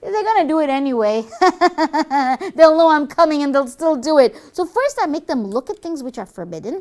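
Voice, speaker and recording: Casting: cheeky devil played by a woman for a puppet show, high voice, very animated, boastful and full of wisecracks. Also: a little fast. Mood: gleeful, boastful. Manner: brash, wisecracking, exclamatory. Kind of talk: comic educational puppet show.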